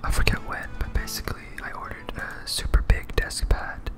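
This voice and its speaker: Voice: normal speed, music in the background. A person whispering casual, rambling ASMR commentary while playing a game, thinking aloud. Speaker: host of a gaming series.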